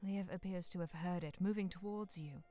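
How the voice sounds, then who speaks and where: A woman narrating a bleak, frozen monster-hunting visual novel as a solemn storyteller, with phrases sinking into hush. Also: on the low side, normal speed, faint music behind, close to an everyday voice. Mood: ominous, suspenseful. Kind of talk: scripted second-person dramatic narration. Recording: clear noise behind the voice.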